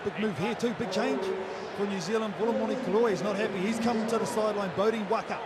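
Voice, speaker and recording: A man with a high voice gives expert analysis of a rugby sevens match. He talks through tactics in a chatty way and turns to his partner as he speaks. Loud stadium noise runs under everything he says. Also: fairly even intonation, fast. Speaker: expert co-commentator.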